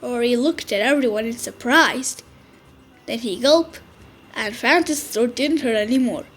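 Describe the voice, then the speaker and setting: Brief: a woman reading a kids' book aloud, unhurried and expressive. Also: fairly high pitch, breathy edge, faint music behind. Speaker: children's storyteller.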